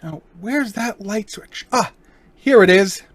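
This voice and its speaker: An actor playing a suave, courteous gentleman burglar with a coolly threatening manner in an old-time radio crime drama. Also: high voice, expressive, slow, breathy edge, exaggerated voice. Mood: smug, cool. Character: suave, polite but menacing male gentleman burglar.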